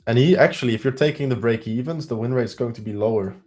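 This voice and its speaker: A man's voice: mid-range voice, fast talker, a little mellow, soft background music. A man with a heavy Belgian accent hosting a live trading stream, thinking aloud as he counts through trades and results.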